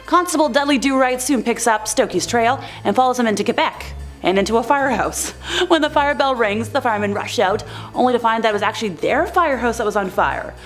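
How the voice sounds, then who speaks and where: A woman's voice: fairly high pitch, expressive, brisk, soft background music. A woman narrating a commentary video in a casual, scripted style, chatty and wry, with sarcastic asides.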